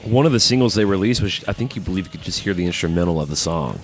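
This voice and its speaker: A man's voice: deep voice, animated, very fast. A man co-hosting a music podcast, talking casually and informally like an enthusiastic fan. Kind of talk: casual chat about rock music videos.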